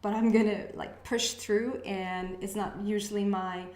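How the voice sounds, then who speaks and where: A woman with a Swedish accent, a native Swedish speaker, doing a casual intuitive reading to camera as a channel host. She talks in a hesitant, musing way and pauses to sense messages. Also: mid-range voice, fairly even intonation, a little mellow, echoey room. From Sweden. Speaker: astrology reader, channel host.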